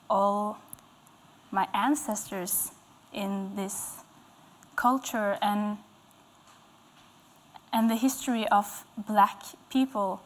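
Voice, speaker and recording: Slow, hesitant young Norwegian woman. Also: mid-range voice. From Norway, west coast. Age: young adult. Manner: hesitant, searching for words. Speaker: guest, musician. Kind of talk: interview answers. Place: indoor stage with dark curtains.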